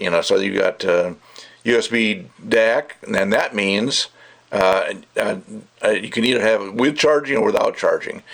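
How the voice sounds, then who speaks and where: A man's voice: fairly low pitch, gravelly, fairly bright tone. A middle-aged man giving a casual, unscripted hands-on product review, rambling and thinking aloud as he goes. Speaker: audio gear reviewer.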